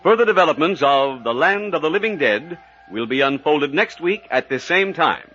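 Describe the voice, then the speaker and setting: A man's voice: on the high side, expressive, moderate pace, sharp tone, slightly rough. A man announcing a 1940s radio serial in dramatic scripted style, on a muffled old recording. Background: music.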